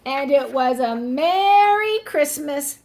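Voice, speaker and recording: A woman with a high, very animated voice reads a children's story aloud at an unhurried pace. She speaks like a teacher, explaining words simply for young listeners. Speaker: teacher reading a picture book aloud.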